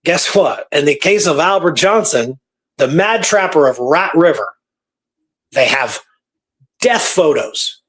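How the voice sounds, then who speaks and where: A man's voice: on the high side, expressive, a little slow, pauses often, a bit bright, slightly rough. A man hosting a radio show, telling a story in a casual, conversational way and repeating phrases for emphasis.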